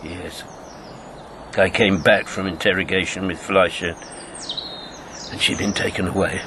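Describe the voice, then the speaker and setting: An actor playing a weary elderly former wartime agent in a radio drama, understated, hesitant and wry. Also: male voice, low-pitched, fairly even intonation, a little slow, background noise. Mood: bitter, grave. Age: 70s or older.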